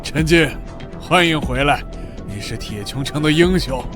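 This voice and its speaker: An actor voicing a scheming, self-preserving city military commander in a motion-comic drama, emphatic and officious. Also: male voice, on the high side, lively intonation, unhurried, music in the background. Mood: falsely sincere, defensive.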